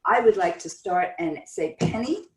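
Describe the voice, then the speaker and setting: A woman's voice: medium pitch, animated, normal speed, background noise. A woman hosting a casual online interview, chatty and laughing often. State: sore from broken ribs. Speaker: interview host. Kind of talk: casual video-call interview. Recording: echoey room, far from the mic.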